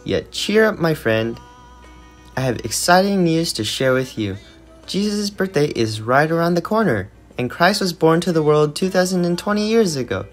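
A man's voice: a little high, animated, moderate pace, soft background music, lightly acted. Earnest man as a puppet show character. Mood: cheerful. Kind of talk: scripted children's puppet show dialogue.

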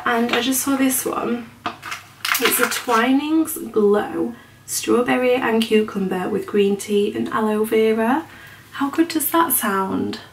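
A British woman talks casually to camera in a chatty, informal way. Her voice sits a little high, and she is recorded in an echoey room at home with noticeable noise behind her. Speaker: home vlogger. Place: indoors in a home kitchen and small utility room. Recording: echoey room, distant mic, noisy background.

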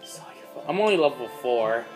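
A man's voice: mid-range voice, fast talker, music in the background. A teenage boy casually commenting on his gameplay, yelping when he gets hurt, filmed by a phone in a dim room. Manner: excitable, yelps when in danger. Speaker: let's play host. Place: dim room in front of a screen.

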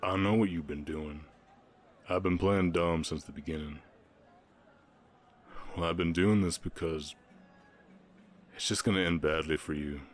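A man voicing a shy, gentle orc cowboy in love, with a slight Southern American accent and a halting delivery, in an ASMR roleplay. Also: low voice. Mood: reluctant, hesitant. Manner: hesitant, halting, bashful.

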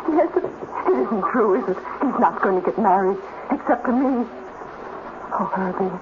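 A woman's voice: mid-range voice, lively intonation, moderate pace, narrow, tinny audio, lightly acted. Casting: actress as brilliant, wounded, sardonic female scientist, fifties radio melodrama, thin and very noisy recording. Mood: anguished. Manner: intense, sardonic. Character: brilliant, bitter female robot scientist, proud and lovelorn. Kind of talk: 1950s radio acting.

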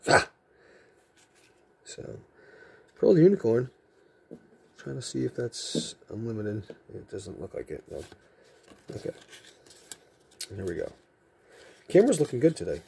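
A man from Massachusetts with a softened Massachusetts accent, chatting in a rambling, jokey way while sorting trading cards indoors, picked up by a camera mic from off-camera. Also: fairly low pitch, sing-song, a little slow, creaky, long pauses, slightly rough. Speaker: card collecting channel host. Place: indoor room with card shelves.

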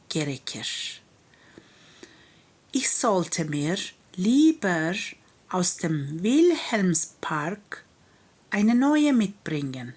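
A woman with a Turkish accent, a native Turkish speaker, reading a children's tale aloud as a language teacher, deliberately explaining and translating it phrase by phrase. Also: mid-range voice. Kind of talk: read-aloud story with line-by-line translation.

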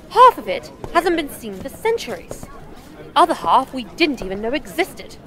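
Plucky English female reporter, audio drama; higher voice, animated, teasing and wry. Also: exaggerated voice. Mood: awed. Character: plucky, cheeky English woman freelance journalist. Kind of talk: full-cast audio drama acting.

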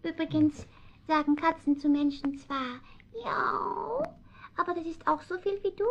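A woman voicing a polite, cheeky and self-assured male talking cat in a radio play, speaking in a genteel, coaxing way with soft whispered asides. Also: sits high, a little slow. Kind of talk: vintage radio play acting. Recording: dull older lo-fi recording.

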